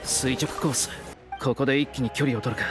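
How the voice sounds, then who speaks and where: A man's voice: medium pitch, rapid-fire, music in the background, close to an everyday voice. A man playing a cool, self-assured young competitor in an anime-style game, terse and nonchalant. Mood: focused. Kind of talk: Japanese game dub acting.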